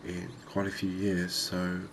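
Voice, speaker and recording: A man giving calm, unhurried, soft-spoken ASMR gameplay commentary, musing aloud as he plays. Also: deep voice, background noise. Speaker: gaming video host.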